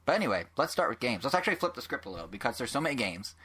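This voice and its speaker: A young man hosting a casual gaming podcast, with a nasal voice and a quick, rambling, joking way of talking. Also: mid-range voice, big pitch swings, sharp tone, slightly rough. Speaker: podcast host.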